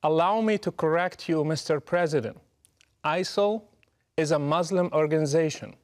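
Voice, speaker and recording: A middle-aged man from Morocco, giving a prepared, deliberate and emphatic address straight to the listener. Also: on the high side. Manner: measured, emphatic, deliberate delivery. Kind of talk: prepared direct address to camera.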